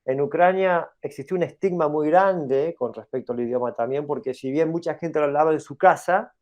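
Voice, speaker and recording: A man's voice: fairly high pitch, moderate pace, a little mellow. A middle-aged man who grew up in New York, explaining at length as an interview guest, hesitant with many fillers and restarts. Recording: dull lo-fi audio.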